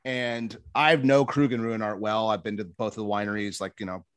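A man's voice: fairly low pitch, steady tone, a little fast, a bit bright, smooth, no room sound, clean studio sound. A man, a former cooking competition contestant, chatting casually with a joking, self-mocking manner. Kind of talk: casual chat.

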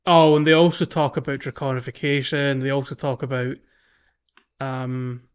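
A man's voice: mid-range voice, level tone, normal speed, clean tone, dull audio. A man co-hosting a podcast in a casual, conversational way, hesitant and thinking aloud as he talks about a video game. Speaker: podcast co-host.

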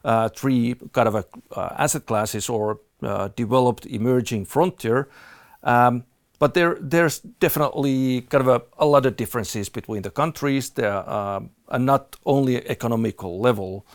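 Finnish-accented man, halting and dry. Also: mid-range voice, no echo. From Finland. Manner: hesitant, many "uh" fillers. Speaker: guest, fund manager. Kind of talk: podcast interview.

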